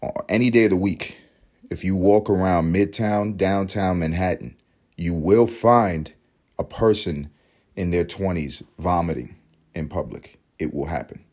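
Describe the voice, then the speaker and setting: A man in his thirties with a very low voice, rough and scratchy from a hangover. He talks loosely and profanely, circling back to repeat his points for emphasis. A laid-back solo podcast host from New York City. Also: unhurried, darkish tone. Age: 30s. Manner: profane, rambling, emphatic repetition. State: hoarse, hungover. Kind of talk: casual solo podcast monologue.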